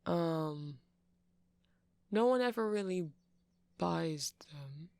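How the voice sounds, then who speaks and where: A man playing a nerdy, friendly vampire shopkeeper in an ASMR roleplay, soft-spoken and gravelly, rambling hesitantly as he explains tech. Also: fairly high pitch, expressive, drawn-out words, no echo, full character voice. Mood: geeky, musing. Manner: rambling, hesitant, self-conscious geeky explaining.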